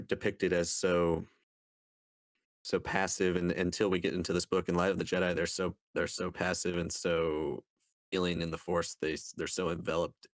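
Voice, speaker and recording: A man co-hosting a podcast, talking casually and thoughtfully in a halting way as he gives his take on things. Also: low voice, dry recording. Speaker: podcast co-host reviewing books. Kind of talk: casual discussion.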